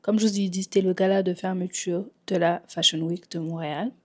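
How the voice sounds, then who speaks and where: A woman's voice: lowish voice, fairly even intonation, a little fast, a little mellow. A woman from Senegal chatting in a home vlog, a little congested from a cold, dropping English phrases into her talk. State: recovering from a cold. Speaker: vlogger. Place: at home in Montreal.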